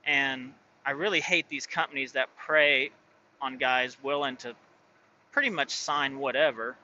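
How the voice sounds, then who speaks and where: A man's voice: medium pitch, steady tone, unhurried, sharp tone, lo-fi sound, slight background noise. A man who drives his own truck, talking casually and rambling, full of fillers and restarts. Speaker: truck driver and owner operator. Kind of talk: casual vlog talk.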